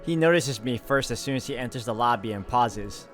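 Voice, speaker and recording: A man at a middle pitch hosts a visual novel playthrough. He shifts between casual commentary and reading the story's narration aloud. Game music plays clearly behind his voice. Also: fairly quick, fairly bright tone. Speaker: video game walkthrough host.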